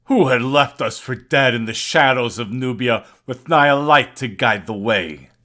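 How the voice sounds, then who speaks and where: A man reads poetry aloud with dramatic weight. His voice is forceful and sharp-edged at a middling pitch. The delivery is solemn and declamatory, with emphatic phrasing. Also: a little slow. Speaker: poetry reader. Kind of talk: dramatic scripted poetry reading.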